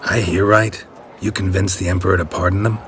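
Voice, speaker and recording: A man playing a gruff, wary monster hunter in a fantasy video game, speaking in terse, deadpan phrases. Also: low voice, a little fast, slight background noise. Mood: sceptical, dry. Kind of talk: cinematic fantasy game acting.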